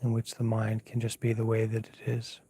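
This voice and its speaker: A man teaching as a monk leads an unscripted guided meditation in a hushed, soft, slightly husky voice, unhurried and pausing often as he gently gives instructions. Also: lowish voice, monotone. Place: outdoors at night, lying down under a mosquito net.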